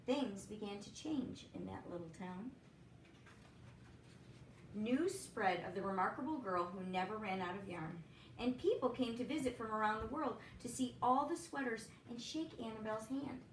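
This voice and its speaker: A woman's voice: fairly high pitch, normal speed, reverberant, far from the mic, a little hiss or hum, rough recording. A woman reading a children's picture book aloud expressively, with chatty asides. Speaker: storybook reader, teacher. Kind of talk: read-aloud children's story narration.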